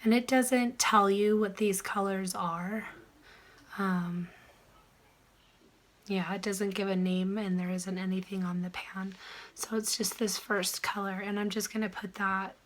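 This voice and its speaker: A woman who is sick and losing her voice, chatting softly through a makeup tutorial, often dropping into a whisper. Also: mid-range voice, flat delivery, unhurried, slight background noise. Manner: soft, chatty, trailing into whispers. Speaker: beauty vlogger.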